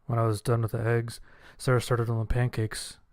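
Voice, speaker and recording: A man's voice: mid-range voice, monotone, brisk, a little mellow, no room sound, studio quality. A man reading a scripted first-person horror story as its narrator. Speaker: audiobook narrator. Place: voice-over with background music.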